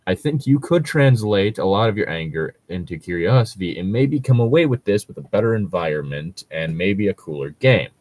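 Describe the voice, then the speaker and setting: A man with a low voice talks casually through his opinions, stopping and restarting as he goes. The sound is dry and close, and he peppers his talk with fillers and the odd swear word. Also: sing-song, moderate pace, few pauses, a little mellow, no room sound. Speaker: podcast host reacting to read-aloud Reddit posts. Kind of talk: casual chat and commentary.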